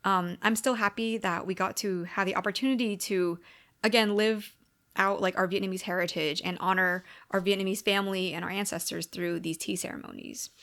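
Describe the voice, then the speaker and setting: A woman's voice: mid-range voice, fairly even intonation, a little fast, a bit bright. A young American woman in a casual sit-down video diary, chatting with many "like" and "um" fillers. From United States. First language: English. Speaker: tarot YouTuber. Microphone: camera mic at a sit-down chat.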